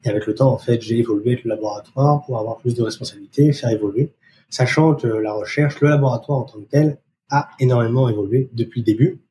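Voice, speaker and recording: A French-accented man from France answers interview questions, pausing often on fillers. His voice is warm and mellow. Faint ambient noise sits in the background. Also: medium pitch, low-quality recording. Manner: hesitant, many fillers. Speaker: research operations manager.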